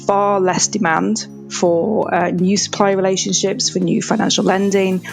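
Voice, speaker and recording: A woman's voice: medium pitch, little pitch movement, measured pace, gravelly, faint music behind. A woman from England with a Yorkshire accent, answering podcast interview questions in a thoughtful way, hedging with fillers. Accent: Yorkshire English. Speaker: analytics executive, interview guest.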